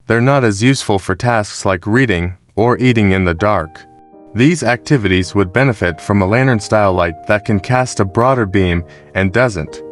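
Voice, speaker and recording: A man reads a scripted product review narration in a measured, explanatory way. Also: fairly low pitch. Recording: music behind the voice. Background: background music.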